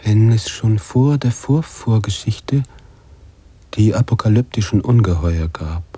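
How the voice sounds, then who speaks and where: An actor playing a brooding male writer who narrates his own story in a measured radio-play monologue. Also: fairly low pitch, expressive, warm tone, slightly rough, no room sound. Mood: solemn.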